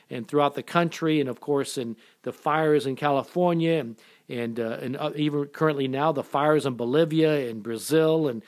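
A man's voice: on the high side, darkish tone. A middle-aged man preaching an earnest sermon, often restarting and repeating words as he goes. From born in Japan, raised in America. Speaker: pastor.

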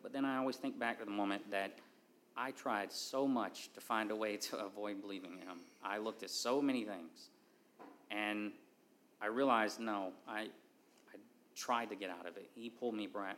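A man with a somewhat low voice speaks earnestly about his faith, breaking off and restarting his phrases. A faint hiss of background noise sits under the recording. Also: normal speed, a bit bright. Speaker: church member giving testimony. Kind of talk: personal testimony.

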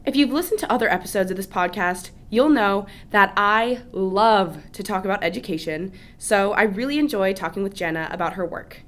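A woman's voice: medium pitch, moderate pace, few pauses, fairly bright tone. Upbeat woman hosting a podcast. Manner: upbeat, eager. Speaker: podcast host. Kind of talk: scripted podcast intro and interview questions.

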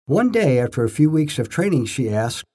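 An older man narrating his own scripted first-person stories in short, measured phrases with a storyteller's emphasis. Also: medium pitch, sing-song, darkish tone.

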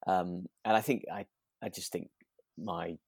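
A man's voice: low-pitched, steady tone, a little slow, slightly rough, dry recording. British man chatting, close dry sound. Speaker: podcast co-host. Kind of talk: relaxed casual chat about a radio drama.

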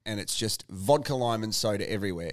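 A middle-aged Australian man with a booming voice, chatting as a podcast guest in an animated, jokey way. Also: fast talker, no echo, studio quality. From Australia (Tasmania). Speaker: guest, sports announcer. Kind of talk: casual podcast chat. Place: podcast studio.

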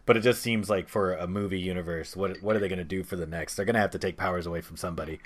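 A man's voice: low voice, steady tone, rapid-fire, a little hiss or hum. A man hosting a podcast, talking casually about movies in a discussion with others. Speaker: podcast host.

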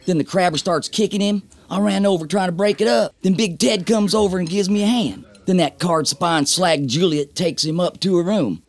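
Actor as a high-voiced frontier gossip. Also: male voice, normal speed, vocal fry, few pauses. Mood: gossipy, cocky. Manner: eager slangy storytelling. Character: gossipy 1890s mill-town yarn-spinner, cocky and slangy. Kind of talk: scripted audio drama acting.

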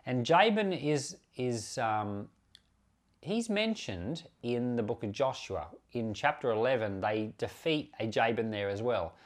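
Man giving informal, conversational Bible teaching. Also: mid-range voice. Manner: conversational, unscripted, explaining with self-corrections and asides. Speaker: pastor and Bible podcast host.

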